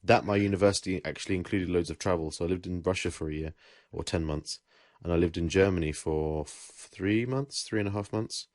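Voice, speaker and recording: Young English guy, deep voice, laid-back rambling storyteller, dry mic. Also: a little fast, few pauses, no echo. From England. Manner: relaxed, rambling, with self-corrections. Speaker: podcast host. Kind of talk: casual chat.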